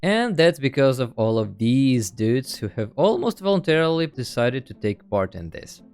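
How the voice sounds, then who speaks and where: Lively man narrating a casual tutorial. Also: medium pitch, moderate pace, clean tone. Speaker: host of a video game tutorial channel.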